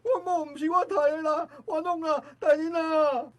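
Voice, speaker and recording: High-voiced wailing, wronged scholar. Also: female voice, fairly even intonation, noisy background. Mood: desperate, pleading. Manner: wailing, drawn-out pleas. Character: wrongly accused frail young scholar, desperate and pleading. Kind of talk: Taiwanese radio drama acting.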